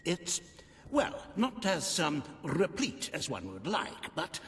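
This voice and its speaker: A fawning, greedy royal treasurer from a fantasy game savours every word theatrically. The voice is highish and very animated, drawn out slowly in a reverberant hall. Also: male voice, exaggerated voice. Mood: fawning, gleeful. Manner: theatrical, unctuous, savouring words. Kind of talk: video game cutscene dialogue.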